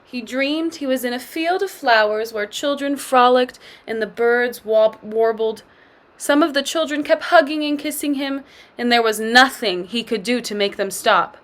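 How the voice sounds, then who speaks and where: High-voiced woman reading a storybook aloud. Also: normal speed. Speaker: teacher. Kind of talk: read-aloud storybook narration for pupils.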